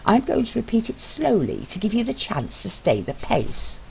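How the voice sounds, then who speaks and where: A woman voicing a bossy, sardonic husband in an amateur story reading, speaking with emphatic, deliberate sarcasm. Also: medium pitch, wildly swooping pitch, gravelly, darkish tone, a little hiss or hum, voice pushed up. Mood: exasperated.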